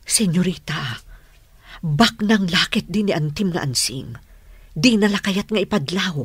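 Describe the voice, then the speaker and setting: A woman playing a nosy, gossiping household servant in a radio drama, with a rough husky voice. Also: fairly low pitch, animated, a little slow, no room sound, exaggerated voice. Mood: gossipy.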